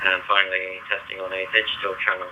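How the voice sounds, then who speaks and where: Noisy walkie-talkie voice of a low, flat man. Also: fast talker. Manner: flat, methodical. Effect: two-way radio transmission. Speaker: radio operator doing test transmissions. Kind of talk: repeated test announcements and counting. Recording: noisy, muffled walkie-talkie radio transmission.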